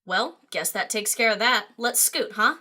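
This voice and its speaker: A brash young party mare, played by a woman in a fan audio drama. Her voice is bright and forward at a middling pitch, and she tosses out lines with nonchalant, teasing flippancy. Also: lively intonation, moderate pace.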